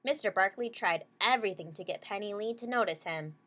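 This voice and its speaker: A woman's voice: mid-range voice, brisk, sharp tone. A woman reading a children's story aloud, stopping to explain things simply and check in with short questions. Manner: checks in with listeners using short tag questions.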